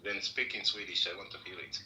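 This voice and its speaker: A man asking blunt questions in halting phrasing in a live online voice chat. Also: low voice, pushed and bright, slight background noise. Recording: roomy echo, distant from mic.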